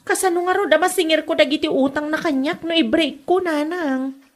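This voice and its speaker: Woman acting an aggrieved girlfriend, very high-pitched. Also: brisk, natural voice. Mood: worried. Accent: Ilocano Filipino. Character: aggrieved girlfriend demanding her money back. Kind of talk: Ilocano comedy audio drama acting.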